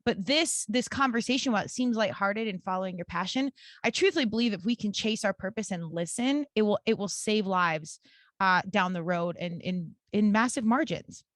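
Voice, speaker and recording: A woman's voice: medium pitch, normal speed, a bit bright, dry recording, clean studio sound. A woman in her early forties hosting a podcast, chatting earnestly and filling her talk with "like". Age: 40s. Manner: chatty, many "like" fillers, earnest. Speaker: podcast host. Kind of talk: casual podcast conversation.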